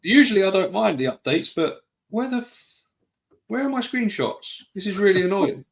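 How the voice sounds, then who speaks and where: A man's voice: high-pitched, sing-song, moderate pace, creaky. A man with a British accent hosting a live podcast-style stream, chatting casually, greeting viewers and wandering off on asides. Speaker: podcast host.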